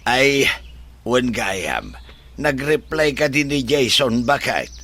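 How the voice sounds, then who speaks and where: Blunt father, bright and swooping. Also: mid-range voice, big pitch swings, slow pace, a little hiss or hum, exaggerated voice. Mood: pleased, curious. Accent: Ilocano. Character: blunt nagging father, soon-to-be grandfather. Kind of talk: Ilocano radio drama acting.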